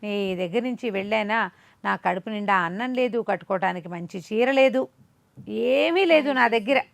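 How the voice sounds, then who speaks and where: A woman's voice: medium pitch, lively intonation, normal speed, gravelly, no room sound. A woman storyteller voicing a scheming young maidservant who pleads and wheedles plaintively in a folk tale. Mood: pleading.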